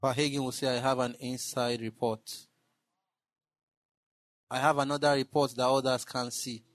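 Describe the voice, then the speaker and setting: A man preaching in church, building into shouted exclamations. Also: medium pitch, little pitch movement, normal speed, dry recording. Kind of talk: sermon.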